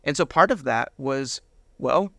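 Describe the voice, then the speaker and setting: A man's voice: medium pitch, expressive, measured pace, vocal fry, bright, forceful tone, dry recording, clean studio sound. A man giving a personal testimony as an interview guest, retelling moments with quoted dialogue acted out.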